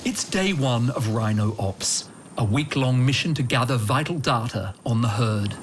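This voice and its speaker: A man, a foreign correspondent giving measured, scripted documentary narration. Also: medium pitch, lively intonation, background noise. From Australia. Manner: measured, earnest storytelling. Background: music.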